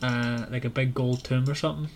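A man's voice: mid-range voice, fairly even intonation, a little fast. A man co-hosting a podcast, chatting casually about books in hesitant, rambling phrasing. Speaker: podcast co-host. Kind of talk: casual book chat. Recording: clear background noise.

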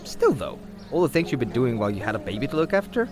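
A man's voice: medium pitch, sing-song, fast talker, lightly acted. A man playing a good-natured, wry survivor chatting in an audio drama. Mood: friendly. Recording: music bed behind the voice.

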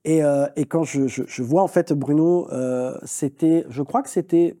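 A man, a film director, talking in a long interview, quick and animated, with many restarts and fillers. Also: fairly high pitch. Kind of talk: long-form conversational interview.

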